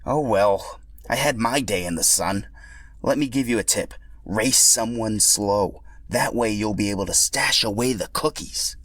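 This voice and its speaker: A man's voice: lowish voice, unhurried, a bit bright, no room sound. Man voicing a cocky, scornful dinosaur racer. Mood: smug. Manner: smug, dismissive.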